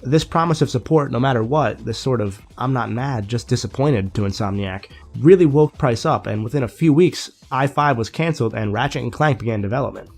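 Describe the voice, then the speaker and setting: A man's voice: mid-range voice, a little fast, few pauses, soft background music. Slightly nasal young man reviewing games. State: recovering from a sinus infection. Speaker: video game reviewer. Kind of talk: scripted retrospective review narration.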